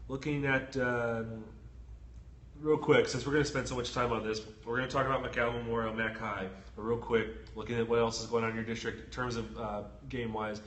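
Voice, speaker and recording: A man with a head cold and a stuffy nose, co-hosting a casual sports podcast with quick, chatty, joking talk. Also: mid-range voice, level tone, fairly bright tone. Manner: quick, chatty, self-deprecating joker. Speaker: sports reporter co-host. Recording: roomy echo with faint ambient noise.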